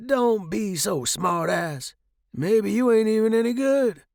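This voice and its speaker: A brash old wino from a pulp story, acted out by a man, pitched high and creaky. He blusters in street slang and bursts out loudly, cantankerous and boozy. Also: takes it slowly, no room sound, studio quality, heavily acted. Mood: gruff, challenging. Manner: slangy, blustering, loud outbursts.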